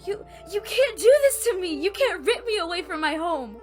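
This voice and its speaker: A woman acting a high-voiced, sardonic young woman. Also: lively intonation, normal speed, darkish tone, soft background music. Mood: upset, disbelieving. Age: young adult. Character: guarded, sardonic young woman, reluctant to leave home. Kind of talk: audio roleplay drama.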